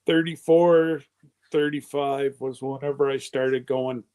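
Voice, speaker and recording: Slow, rambling man in his fifties. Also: mid-range voice, fairly even intonation, darkish tone, clean tone. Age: 50s. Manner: rambling, loose and conversational. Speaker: live-stream host. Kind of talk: casual live-stream chat.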